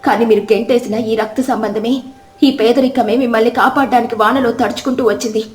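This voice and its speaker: A woman voicing a poor village sister-in-law in a moral story, speaking gravely and with reproach. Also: fairly high pitch, fairly even intonation, fairly quick, roomy sound, lightly acted. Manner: grave, admonishing. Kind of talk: dramatic storybook character reading.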